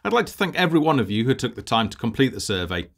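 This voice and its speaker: Lively-pitched man narrating a scripted presentation. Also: very fast, creaky. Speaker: game project lead, narrator.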